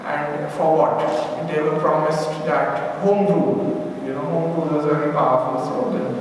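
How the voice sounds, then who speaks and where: A man's voice: on the high side, fairly even intonation, moderate pace. A man with a Pakistani accent gives a public lecture in a discursive, semi-improvised way, often adding "you know" as an aside. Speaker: speaker giving a history talk. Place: indoor event room at a museum. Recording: very echoey hall, distant mic, faint noise.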